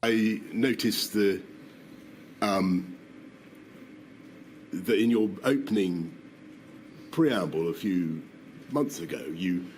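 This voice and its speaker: A man's voice: sits low, takes it slowly, long pauses, a little mellow, poor audio. A man giving formal testimony, hesitant and politely demurring, with some noise on the hearing-room recording. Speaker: inquiry witness.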